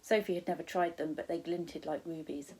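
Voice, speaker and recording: A woman's voice: low voice, fairly even intonation, brisk, a little mellow, husky, rough recording. A woman with a British accent, a primary school teacher, narrating a children's storybook expressively.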